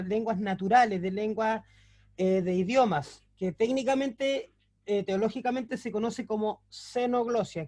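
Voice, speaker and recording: A man's voice: high-pitched, a little mellow. A man teaching a Bible class, explaining things patiently with frequent fillers and self-corrections, and asking the listeners rhetorical questions to check they follow. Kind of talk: recorded class lecture.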